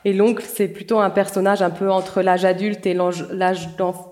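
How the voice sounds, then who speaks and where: A woman's voice: mid-range voice, flat delivery, normal speed, a little mellow. A woman novelist answering interview questions about her first book, thoughtful and hesitant, with frequent restarts and fillers. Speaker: novelist guest.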